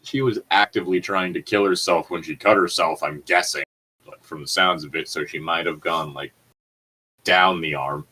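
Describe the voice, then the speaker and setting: A man with a fairly low voice co-hosts a comedic reading. He talks casually, slipping between dramatic narration and explanatory asides, and swears freely. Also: steady tone, normal speed, pushed and bright, breathy edge, low-quality recording. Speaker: co-host reading and mocking fan fiction.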